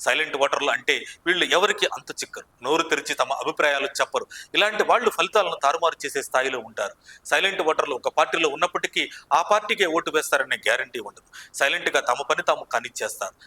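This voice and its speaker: A man narrates scripted political news. His voice is very bright and sharp, sitting a little high, and he drives through the copy quickly with dramatic emphasis. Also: poor audio.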